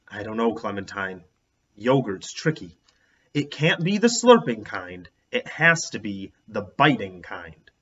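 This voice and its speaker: A man voicing a fussy, bossy schoolgirl who worries about germs, with emphatic drawn-out words in a storybook reading. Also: on the low side, very animated, fairly bright tone, husky, voice pushed down, full character voice. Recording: dull, lo-fi sound.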